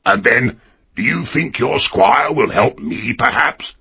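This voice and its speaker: A man voicing an excitable, half-crazed old castaway marooned for years, high and pleading, his voice breaking. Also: slow pace, vocal fry, slightly rough, exaggerated voice. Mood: excited pleading. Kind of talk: audiobook character reading.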